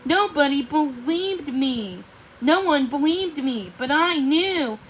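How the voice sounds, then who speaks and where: A woman voicing an impulsive young prince in love for a storybook, emphatic and halting. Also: high-pitched, animated, slight background noise, poor audio. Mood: overjoyed, emotional.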